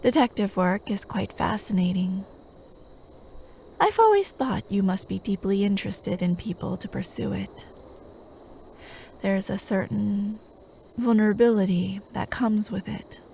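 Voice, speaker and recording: A woman playing a doting, possessive female hypnotist in a soft-spoken ASMR roleplay, gentle and coaxing, often slipping into a whisper. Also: mid-range voice, unhurried, creaky, pauses often, slight background noise. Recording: steady outdoor night ambience, chirping crickets. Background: crickets and night insects.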